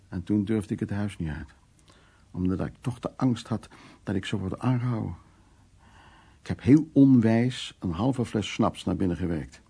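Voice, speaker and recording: Gravelly, dark-voiced male storyteller. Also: fairly low pitch, heavily acted. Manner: earthy, wry storytelling. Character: worldly, blunt man recounting wartime escapades in Berlin. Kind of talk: radio drama monologue.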